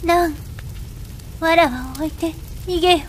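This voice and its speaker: A woman's voice: very high voice, lively intonation, normal speed, bright, forceful tone, slight background noise, heavily acted. A woman voicing a spirited, affectionate young noble girl in a video game, speaking in old-fashioned princess phrasing. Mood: fading, tender. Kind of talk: Japanese video game cutscene acting. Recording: music under the dialogue.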